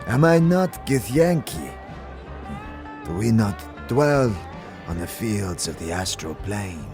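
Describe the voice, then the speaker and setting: A man playing a sly, menacing Githyanki warrior in a fantasy audio drama, speaking in deliberate, formal, theatrical phrases. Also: on the low side, sing-song. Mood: intense, enticing. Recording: music playing behind the voice.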